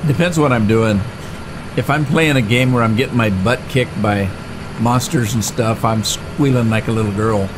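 A man's voice: mid-range voice, moderate pace. Rambling middle-aged man with engine noise. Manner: rambling, chatty, with frequent "uh" and restarts. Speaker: gaming channel host. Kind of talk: casual let's-play commentary. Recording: game engine noise clearly behind the voice. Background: tractor and engine sounds from the game.

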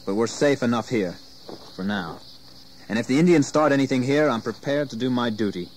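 An actor playing a proud, ambitious colonial gentleman in a radio drama, formal and resolute. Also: medium pitch, a little fast, slight background noise, close to an everyday voice.

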